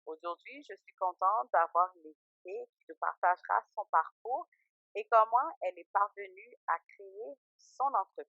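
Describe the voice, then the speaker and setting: A woman hosting a podcast, speaking in a measured, welcoming way as she opens and leads the interview. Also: mid-range voice, dry recording, narrow, tinny audio, faint music behind. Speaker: podcast host. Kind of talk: scripted intro, then casual interview.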